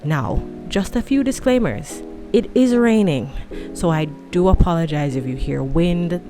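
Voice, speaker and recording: Woman, late twenties, low voice, chatty vlog, rambling, self-deprecating, music behind. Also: expressive, measured pace. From tropical country. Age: 20s. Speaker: vlogger. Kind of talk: casual chat to camera. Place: home room with a fan running.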